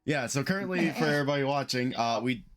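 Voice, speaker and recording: A man's voice: mid-range voice, quick pace, faint music behind. A man acting as game master, casually improvising rulings and narration for a tabletop game on an online call. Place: online group call, streamed live.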